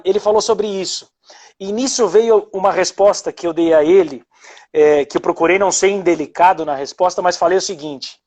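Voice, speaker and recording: A man's voice: high voice, a little mellow. A man who hosts the show, a pilot speaking on a live video call, thoughtful and reflective with frequent hesitations. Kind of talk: casual live video chat.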